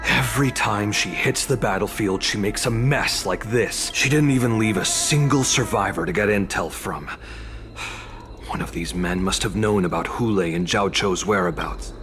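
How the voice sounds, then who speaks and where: A man playing a cool, cynical young retainer to a general in a video game, with a dry, controlled, quietly threatening delivery. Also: lowish voice, moderate pace, natural voice. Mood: weary, cold. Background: game music.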